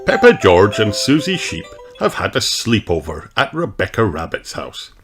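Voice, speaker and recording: A man narrating a children's storybook aloud with an expressive, deliberate delivery. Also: on the low side, music in the background. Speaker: audiobook narrator.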